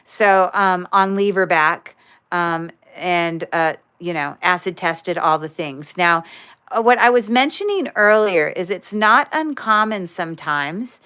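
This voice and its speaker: Woman, livestream seller, chatty, filler-heavy, lower voice, crisp clear sound. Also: lively intonation, a little slow. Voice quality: describes own voice as loud. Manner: chatty, many fillers, thinks aloud while handling items. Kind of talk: casual livestream sales chat.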